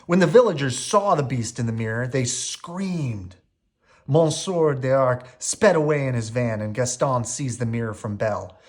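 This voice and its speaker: Animated male storybook narrator. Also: mid-range voice, unhurried. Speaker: storybook reader. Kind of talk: expressive scripted bedtime story narration.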